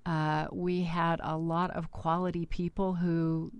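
A woman's voice: lowish voice, level tone, a little slow, clean tone, no room sound. A woman who worked as a radio reporter, reminiscing conversationally in an interview.

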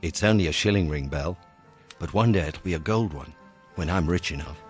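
An actor playing an earnest, idealistic young Victorian clerk in a radio drama. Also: male voice, deep voice, a little fast, over music, close to an everyday voice. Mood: tender, ardent. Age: young adult.

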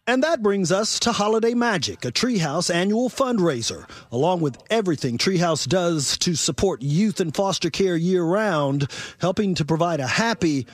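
Male news reporter, high voice, scripted radio narration, dry studio sound. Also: lively intonation, moderate pace, no echo.

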